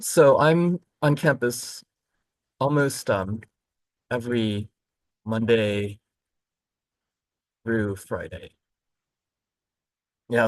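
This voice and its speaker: A man from Florida who teaches college literature, walking students through a course overview in a relaxed, explanatory way with frequent ums and self-corrections. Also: mid-range voice, dry recording. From Florida, United States. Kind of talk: recorded course overview lecture.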